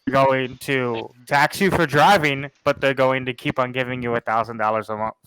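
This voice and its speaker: Clear-voiced young American man, casual. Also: mid-range voice, fairly even intonation, moderate pace, a bit bright, low-quality recording. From Chicago, United States. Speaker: podcast participant. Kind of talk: casual group chat.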